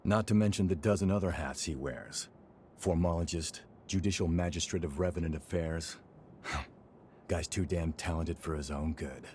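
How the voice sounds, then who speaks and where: An actor playing a loyal, frank young fighter in a video game, talking casually. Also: male voice, low-pitched, moderate pace, a little mellow, slight background noise, lightly acted. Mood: frank, easygoing. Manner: casual, colloquial. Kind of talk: video game cutscene dialogue.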